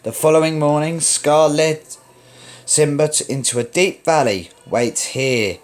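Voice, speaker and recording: A man reading a children's story aloud as narrator, in a dramatic, expressive read-along style. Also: on the high side, moderate pace.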